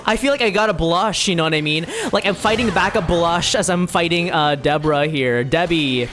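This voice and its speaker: A woman streamer giving casual, playful and excitable commentary as she plays a video game, sometimes swearing. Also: on the low side, brisk, noisy background. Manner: playful, excitable, profane, given to silly repetition. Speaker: streamer reacting while playing a video game.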